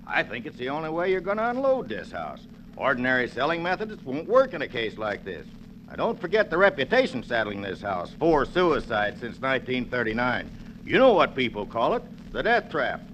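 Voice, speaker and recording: A folksy, smooth-talking salesman. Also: medium pitch, wildly swooping pitch, normal speed, a bit bright, slight background noise, rough recording. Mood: persuasive. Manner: folksy, persuasive salesman talk. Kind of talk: 1940s radio acting.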